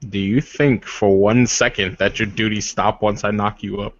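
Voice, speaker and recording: A man playing a stern werewolf warrior in a tabletop game, speaking in a formal, commanding and measured manner. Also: fairly low pitch, fairly even intonation. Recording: muffled, echoey room recording.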